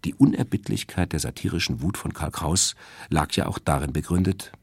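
A man delivering a scripted literary radio essay as a presenter, in a measured, emphatic and erudite manner. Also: rumbling low, vocal fry, husky, no room sound. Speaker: radio presenter introducing a radio play.

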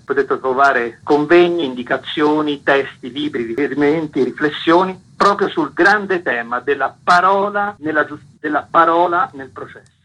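An articulate man gives an earnest interview. His voice sits a little high, with a sharp, forceful edge, and he stresses his points emphatically. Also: husky, rough recording. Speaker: interviewed author on legal language.

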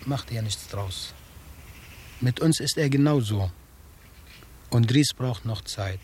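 A man acting a grieving brother in a radio play. The voice is medium in pitch, and the delivery is gentle, subdued and troubled. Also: takes it slowly, slight background noise, natural voice. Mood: subdued grief. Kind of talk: radio drama dialogue.

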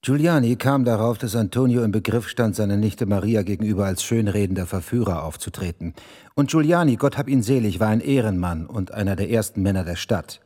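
An actor playing a formal, respectful town clerk in a radio crime play, delivering reports in a measured official manner. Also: male voice, fairly low pitch, animated, mellow. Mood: solemn reporting.

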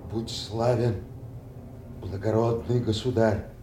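Slow-spoken, loyal nobleman in echoey room. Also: lowish voice, background noise. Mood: firm. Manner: measured, declamatory, deliberate delivery. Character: loyal, blunt nobleman Kent, put in the stocks. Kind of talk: classical stage tragedy in audio play. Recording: echoey roomy studio recording.

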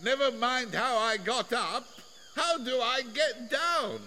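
A man voicing a playful elephant in a children's storybook, calling out plaintively in thin, drawn-out falsetto. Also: pushed and bright, heavily acted, rough recording. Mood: stuck, plaintive.

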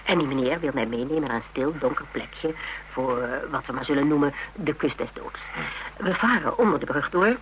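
A woman's voice: extremely low pitch, animated, fairly quick, muffled recording. An actress playing a spirited, teasing woman in a radio drama, telling things playfully and dramatically with self-mockery. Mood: playful, dramatic. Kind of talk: Dutch radio drama dialogue. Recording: hummy, noisy old radio recording.

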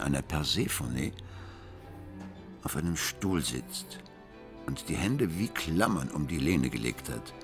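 An actor playing an embittered, betrayed husband who confides his marriage story in a deliberate, brooding way in a radio drama. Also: a bass voice, exaggerated voice. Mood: brooding, haunted. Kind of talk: radio drama monologue, intimate narration.

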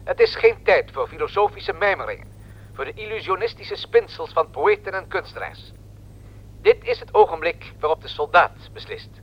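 A man's voice: sits high, big pitch swings, normal speed. A man playing a solemn radio news correspondent who formally declaims political statements, in a dull radio recording. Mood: solemn, rousing. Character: solemn male New York radio correspondent quoting the president's rousing speech.

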